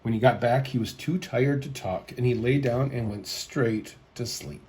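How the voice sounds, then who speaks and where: A man hosting a storytime, reading a picture book aloud in an expressive storytelling style. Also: mid-range voice, moderate pace, slight background noise. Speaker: storyteller, host.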